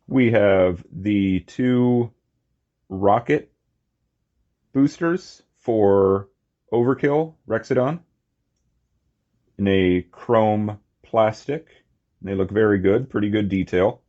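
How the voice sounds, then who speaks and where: A man giving a casual, unscripted hands-on toy review, talking in short, halting phrases on a slightly muffled recording. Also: lowish voice. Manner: halting, short phrases while handling objects.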